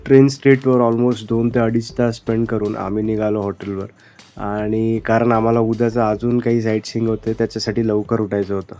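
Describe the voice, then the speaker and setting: A man's voice: fairly low pitch, little pitch movement, a little fast, mellow, highs cut off. A man narrating a travel vlog in a casual, chatty and explanatory way. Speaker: travel vlogger.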